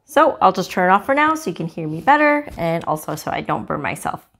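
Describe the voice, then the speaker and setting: A woman hosting a cooking channel, casually demonstrating a gadget in her home kitchen, chatty and relaxed with small fillers. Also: on the low side, brisk, creaky. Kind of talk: casual product demo.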